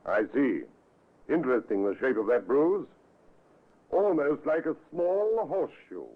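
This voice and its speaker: An authoritative police superintendent in a radio crime play. He speaks with deliberate command and wide swings of pitch. The sound is narrow and muffled, like an old broadcast. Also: animated. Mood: intrigued. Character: brisk, authoritative male British police superintendent. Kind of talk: old-time radio drama acting.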